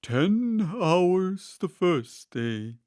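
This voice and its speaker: A man's voice: high-pitched, very animated, very slow, darkish tone, no echo, clean studio sound, pitched above the performer's own voice, exaggerated voice. A man voicing a melancholy Mock Turtle from a storybook, stretching out his words in a mournful, sighing delivery. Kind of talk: storybook character reading.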